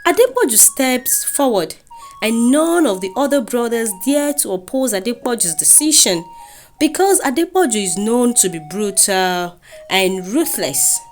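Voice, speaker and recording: A woman's voice: a little high, animated, measured pace. A woman with a Nigerian accent narrating a scripted folktale in a dramatic storytelling style. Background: music.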